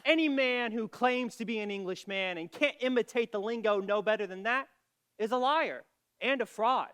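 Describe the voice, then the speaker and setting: An actor playing a blunt, indignant small-town doctor and loyal family friend, declaiming with scorn in a literary comedy drama. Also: male voice, high-pitched, moderate pace, fairly bright tone, clear, resonant tone. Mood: scornful.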